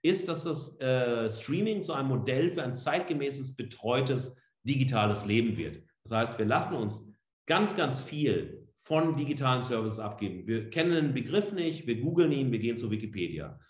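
A man's voice: medium pitch, expressive, normal speed. A middle-aged man giving a free-spoken lecture as a professor, emphatic and colloquial, with rhetorical questions. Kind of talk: public lecture, spoken freely. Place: indoor room, echoey. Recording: echoey room sound.

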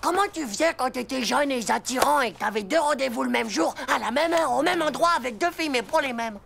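Creaky, cocky cartoon schoolboy. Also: female voice, high voice, expressive, a little fast, a little hiss or hum, heavily acted. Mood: bossy, puzzled. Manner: slangy, swaggering. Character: cocky young schoolboy, boastful, easily flustered. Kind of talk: animated cartoon dubbing. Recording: loud music under the voice.

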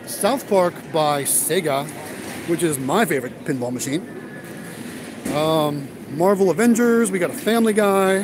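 A man's voice: a little high, background noise. A man hosting a casual livestream, chatting to viewers as he walks a large warehouse auction. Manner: chatty, addresses viewers as guys. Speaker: vending business vlogger and livestream host.

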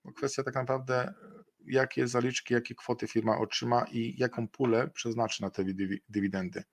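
A man's voice: mid-range voice, fairly even intonation, a little fast. A middle-aged man presenting an investment webinar over a video call, talking with many fillers and restarts.

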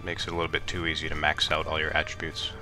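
Very deep, flat-voiced man with a cold. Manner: relaxed, halting, many ums. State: hoarse from a cold. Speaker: let's play gamer. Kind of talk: casual gameplay commentary. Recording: game music playing behind.

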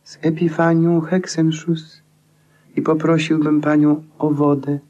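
A man's voice: on the high side, flat delivery, a little slow, dark tone, highs cut off, natural voice. An actor playing a grandiose, moonstruck eccentric man with theatrical declamation in a 1950s radio play. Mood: solemn.

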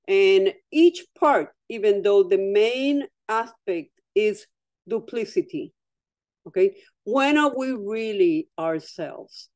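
A woman's voice: medium pitch, a little mellow, dry recording. A woman artist, a native Spanish speaker, talking thoughtfully over a video call from home and often restarting her sentences. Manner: thoughtful, with frequent restarts and repeated words. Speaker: artist interviewee. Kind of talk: reflective conversation.

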